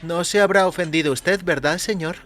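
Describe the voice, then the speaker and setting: A man's voice: high voice, normal speed, a bit bright, pitched above the performer's own voice. A man voicing a courteous, wary waitress in audio fiction, speaking carefully and deferentially. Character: courteous, wary waitress, a woman serving customers. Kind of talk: audio fiction character acting. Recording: music behind the voice.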